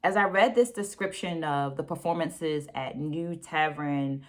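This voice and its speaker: A woman with a low voice presents a book discussion to her viewers. She phrases her points deliberately and with emphasis, moving between read-out quotations and conversational asides that check in with the listener. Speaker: book review host. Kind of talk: book discussion to camera, reading quotes aloud.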